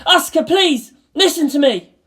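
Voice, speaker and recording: A woman's voice: high voice, expressive, moderate pace, fairly bright tone, pitched above the performer's own voice. A woman voicing an earnest, worried teenage boy pilot in an audio story, urgent and pleading. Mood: desperate. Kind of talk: dramatic fan fiction reading.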